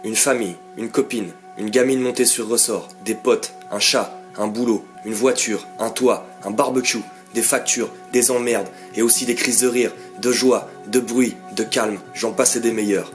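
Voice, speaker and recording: A man in his thirties reads a scripted voice-over with a dry, self-mocking wit. Music plays clearly underneath his steady, mid-pitched voice. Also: normal speed, hardly any pauses. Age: 30s. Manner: wry, self-mocking humour. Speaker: fishing vlogger. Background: background music.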